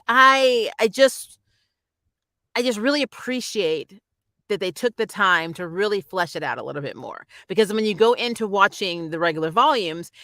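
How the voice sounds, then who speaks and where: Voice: medium pitch, pushed and bright, no echo. A reviewer chatting casually and emphatically, like a fan talking through an episode as host of a channel. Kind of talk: casual spoiler review chat.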